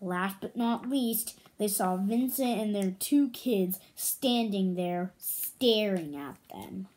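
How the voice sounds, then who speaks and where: A woman reading a children's story aloud, pausing to add her own comments and questions for listeners. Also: mid-range voice, darkish tone.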